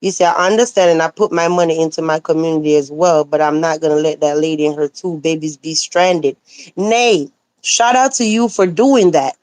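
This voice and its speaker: Sassy woman streamer, slightly hoarse. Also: low voice, normal speed, dry recording. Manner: animated, sassy, talks back to chat comments. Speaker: livestreamer reading chat. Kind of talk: casual live commentary.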